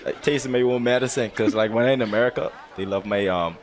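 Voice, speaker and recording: A man's voice: on the low side, fast, a faint crowd. A young man with a Bahamian accent, a guest baseball player joining the commentary booth, playful and laughing, repeating his calls.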